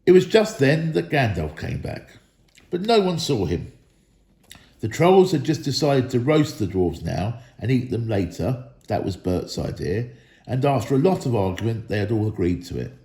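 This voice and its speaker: A man's voice: medium pitch, big pitch swings, normal speed, darkish tone. A man reading a story aloud expressively, in the style of children's storytelling, in an echoey room. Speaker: storybook reader, school staff member.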